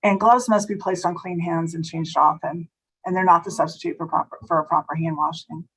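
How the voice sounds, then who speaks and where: Virginia lady on a webinar, kinda hoarse, lowish voice, explaining rules with little restarts. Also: steady tone, poor audio. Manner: explanatory, slightly halting with restarts. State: slightly hoarse, losing her voice. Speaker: state food safety inspector presenting. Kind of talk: webinar presentation.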